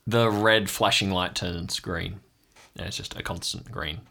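A man acting as game master, improvising descriptive scene narration and pausing as he builds up the detail. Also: sits low, fairly even intonation, gravelly, sharp tone.

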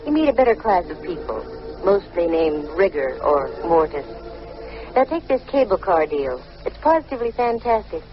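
A woman's voice: fairly low pitch, very animated, normal speed, over music. An actress playing a wry, flirtatious female private eye and former model in a 1950s radio detective drama, wisecracking and sardonic. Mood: breezy, confiding. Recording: muffled, noisy old radio recording.

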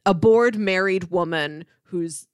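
A woman's voice: lowish voice, slow pace, clear, resonant tone, no room sound, clean studio sound. A woman co-hosting a podcast, chatting casually and conversationally about film.

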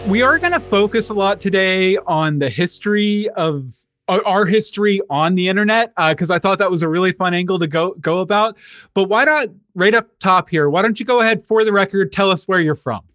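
Host, man, high voice, dry close sound, easygoing and jokey. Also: animated, a little fast, a bit bright, no echo, faint music behind. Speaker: podcast host. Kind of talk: casual interview.

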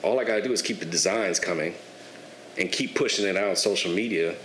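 Laid-back young man, low and roomy. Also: fast, a bit bright. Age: 20s. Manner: laid-back, candid storytelling with casual swearing. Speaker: guest, barber and clothing entrepreneur. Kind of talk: casual podcast conversation. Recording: echoey room with faint noise.

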